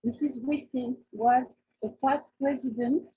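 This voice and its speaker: A native French-speaking woman with a Quebec French accent, giving a halting presentation over a video call. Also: sits high, fairly even intonation, warm tone. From Quebec, Canada. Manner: halting, apologetic second-language delivery. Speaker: new member giving a presentation. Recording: muffled, thin video-call audio.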